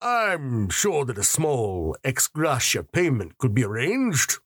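A man voicing a smarmy, pompous middle-aged mayor who speaks slowly and deliberately. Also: mid-range voice, huge pitch swings, a bit bright, slightly rough, no room sound, studio quality, voice pushed up, full character voice.

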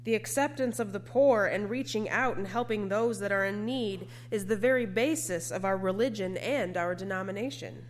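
A woman preacher delivering a scripted sermon in an earnest, measured way, often posing rhetorical questions. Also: medium pitch, a little hiss or hum.

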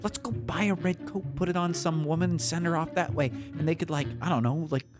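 A man playing a naive, excitable bunker dweller in an improvised roleplaying audio drama, casual and halting. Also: fairly high pitch, lightly acted. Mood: scheming, casual. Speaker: player in a roleplaying game. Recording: music bed under the voice.

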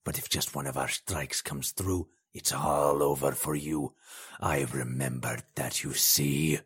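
A man voicing a sly, menacing male potentate who speaks in a slow, gloating, hissing whisper. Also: a bass voice, steady tone, a bit bright, husky, voice pushed down, heavily acted.

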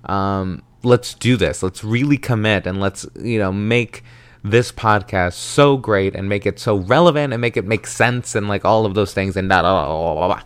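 Man, 30, from the American South, solo podcast host; low, smooth, dry-miked, chatty and dramatic. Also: moderate pace, no room sound. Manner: chatty, animated, self-dramatizing with frequent tangents.

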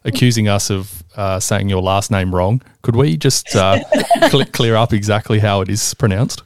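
A man co-hosting a podcast, asking questions in a halting way with many fillers. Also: on the low side. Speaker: podcast co-host. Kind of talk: podcast interview.

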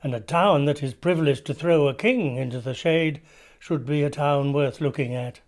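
An actor playing a witty Victorian gentleman writer, reading journal extracts in a measured, literary way. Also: fairly high pitch, lightly acted. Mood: wry, musing.

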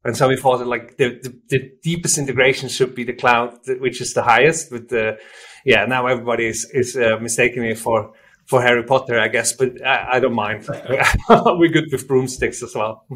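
A man from Switzerland, a native German speaker with a Swiss German accent, explaining things informally and haltingly as an interview guest, often rephrasing himself. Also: mid-range voice, fairly even intonation, fairly bright tone. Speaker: software company representative, interview guest. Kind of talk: conversational product interview.

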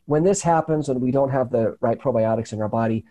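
A man hosting a casual health talk show over a video call, his voice slightly raspy from a cold, talking earnestly with many restarts. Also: mid-range voice, fairly quick, mellow, highs cut off. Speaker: host. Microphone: remote video call.

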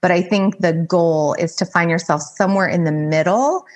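Casual woman, slightly low voice. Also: moderate pace. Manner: informal, heavy use of "like". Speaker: life coach, podcast guest. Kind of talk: casual conversational advice.

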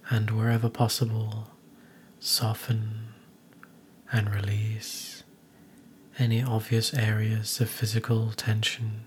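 A man narrating a guided meditation in a soothing, gentle, hushed manner with soft, breathy phrase endings. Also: on the low side, a little hiss or hum.

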